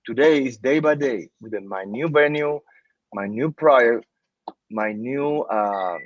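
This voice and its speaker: A man from Cuba with a Cuban Spanish accent, a native Spanish speaker, speaking as an interview guest in earnest, halting English and often repeating himself. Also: medium pitch, no room sound. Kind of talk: casual interview talk. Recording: dull, dry sound.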